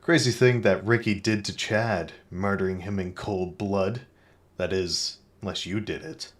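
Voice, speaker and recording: Comedy noir private eye, male actor: deep, deadpan, dramatic and clueless, echoey with music underneath. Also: a little slow. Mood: suspicious. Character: self-serious, dim-witted male private detective, narrating his case. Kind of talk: parody noir narration and character dialogue.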